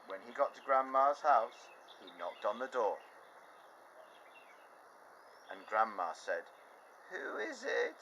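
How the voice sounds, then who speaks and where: A man reading a picture book aloud to children in an animated, expressive way, sitting outdoors in a garden with faint birds and insects behind him. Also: lowish voice, normal speed, muffled recording, slight background noise. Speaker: children's storyteller.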